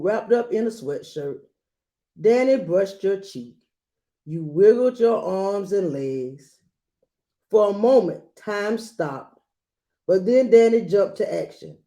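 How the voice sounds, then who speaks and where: A woman reading a children's picture book aloud, adding gentle explanatory asides for young listeners. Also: medium pitch. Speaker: read-aloud storyteller.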